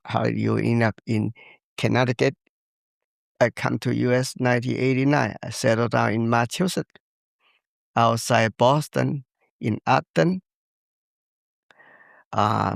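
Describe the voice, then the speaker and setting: An older man from China with a Chinese accent, telling his story as a podcast guest in simple, halting non-native English. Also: mid-range voice, vocal fry, dry recording. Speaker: guest, traditional Chinese medicine practitioner. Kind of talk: podcast interview, personal storytelling.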